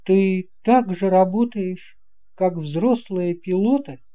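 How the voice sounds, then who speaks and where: Narrator playing curious little boy in a fairy tale, earnest, bit careful, really slow and mellow. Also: mid-range voice, voice pushed up, close to an everyday voice. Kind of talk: audio fairy-tale dialogue.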